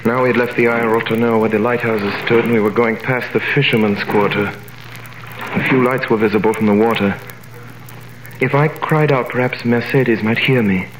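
An actor playing a young sailor wrongly jailed. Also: male voice, mid-range voice, fairly even intonation, normal speed, natural voice. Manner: narrates in first person, then shouts in panic. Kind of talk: 1930s radio drama acting. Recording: echoey, noisy 1930s radio recording.

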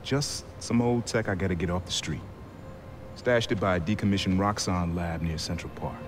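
An actor playing a gruff, streetwise uncle, a protective ex-criminal, in a video game cutscene. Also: male voice, deep voice, moderate pace, noisy background, close to an everyday voice. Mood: earnest. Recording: game audio with music behind.

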